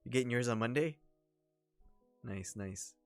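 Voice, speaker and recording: A man's voice: medium pitch, measured pace, vocal fry, darkish tone, soft background music. Chatty man rambling on a livestream. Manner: rambling, chatty, full of fillers. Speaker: livestream host and scam baiter. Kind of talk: casual livestream chat.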